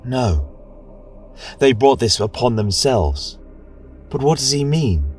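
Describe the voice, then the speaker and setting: Casting: man reading as a proud holy warrior woman, zealous and indignant, unhurried emphatic delivery for a fantasy audio tale. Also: medium pitch, very animated, darkish tone, soft background music, voice pushed up.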